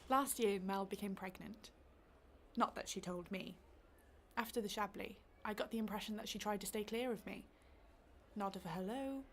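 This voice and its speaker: An actress playing a well-meaning, anxious middle-aged neighbour, chatty and self-questioning, in a radio play monologue. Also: female voice, medium pitch, slight background noise, lightly acted.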